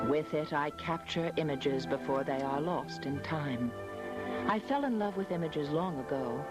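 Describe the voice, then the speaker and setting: A woman delivers scripted first-person documentary narration. She speaks at a very unhurried pace, measured and reflective throughout. Music is clearly audible behind her voice. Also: low-pitched. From United States, after early childhood in Australia. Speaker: photographer narrating her family history.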